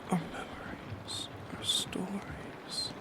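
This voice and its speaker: A lonely shadow creature of the night, played by an ASMR performer, speaking in a breathy whisper. The words come very slowly and hesitantly, in a wistful, philosophical roleplay monologue. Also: close to an everyday voice. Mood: tender, pensive. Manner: slow, hesitant, philosophical musing. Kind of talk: soft-spoken ASMR monologue.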